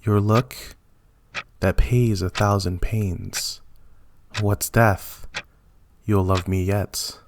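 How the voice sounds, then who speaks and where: A man reads classic love poems in a dramatic style. His voice sits fairly low and he takes the verse very slowly. There is distinct noise in the recording. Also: steady tone. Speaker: poetry reader. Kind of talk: slow dramatic reading of classic love poetry.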